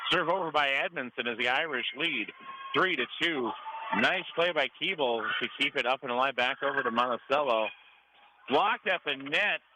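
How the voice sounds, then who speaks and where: A man doing live radio play-by-play of a volleyball match in clipped running calls from courtside in a gym. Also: medium pitch. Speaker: radio play-by-play sports announcer. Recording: clear gym noise behind live radio call. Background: noisy gym ambience.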